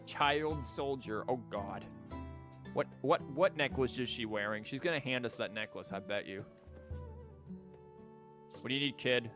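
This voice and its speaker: A man's voice: mid-range voice, measured pace, long pauses. Sarcastic, foul-mouthed young man streaming. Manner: profane, sarcastic joking at the game. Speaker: streamer playing a story game. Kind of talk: live gameplay commentary. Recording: faint game music behind.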